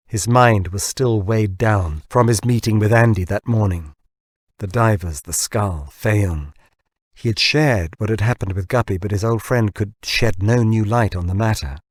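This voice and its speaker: A man reading a novel aloud as scripted narration, in a measured and expressive storytelling manner. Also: on the low side, slightly rough, dry recording, clean studio sound. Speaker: audiobook narrator.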